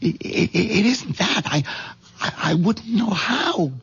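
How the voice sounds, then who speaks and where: An actor playing a timid, henpecked middle-aged bank employee with a hesitant, stammering manner in an old-time radio drama. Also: male voice, high-pitched, expressive, a little slow, breathy edge, dry recording, heavily acted. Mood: nervous, wavering. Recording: dull lo-fi old radio recording.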